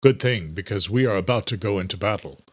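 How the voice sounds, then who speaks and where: A man's voice: lowish voice, fast talker, lightly acted. A man voicing a commanding, vengeful vampire king in an audiobook, grave and authoritative. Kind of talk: dramatic audiobook character voice.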